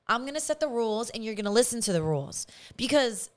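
A woman co-hosting a podcast, chatting in an animated, profane way and often cutting herself off. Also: fairly high pitch, fast. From Southern California. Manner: animated, profane, self-interrupting. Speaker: podcast co-host. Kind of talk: casual chat.

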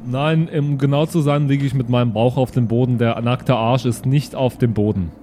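A man's voice: medium pitch, steady tone, normal speed, mellow, a little hiss or hum. A man playing in an improvised tabletop role-playing audio play, bantering casually in and out of character.

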